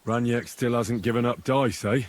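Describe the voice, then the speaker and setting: A man playing an earnest, curious medieval adventurer in a video game, asking direct questions in a husky voice. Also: medium pitch, steady tone, normal speed, lightly acted. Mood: polite, inquiring. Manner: inquisitive, direct, plain-spoken. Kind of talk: dramatic video game dialogue.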